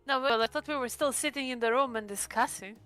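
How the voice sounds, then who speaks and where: A woman talks casually as a player in a tabletop game. Her voice is rather high, bright and clean, with a conversational, hesitant flow over faint background music. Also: fast, smooth.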